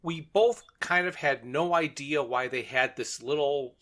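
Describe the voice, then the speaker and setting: Bright, forceful male podcast co-host. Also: on the high side, a little slow. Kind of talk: casual chat about an audio drama.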